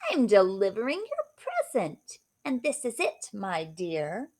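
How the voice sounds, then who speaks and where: A woman's voice: medium pitch, huge pitch swings, slow, darkish tone, full character voice. A woman voicing a wicked, sly witch from a storybook, gloating and taunting theatrically as she draws out her words. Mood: sly, coaxing. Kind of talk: storybook read-aloud.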